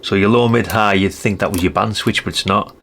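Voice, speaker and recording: A man's voice: on the low side, fairly quick, a bit bright, slight background noise. A British man giving a casual hands-on showcase as a video host, chatty and rambling with frequent tag questions. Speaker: host of a radio hobby channel.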